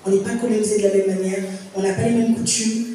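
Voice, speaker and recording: A woman's voice: medium pitch, level tone, fast talker, warm tone, slight background noise. A woman in her thirties, from Congo, speaking at a public panel in an earnest, reflective way with many fillers and restarts. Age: 30s. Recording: echoey hall, voice from far off.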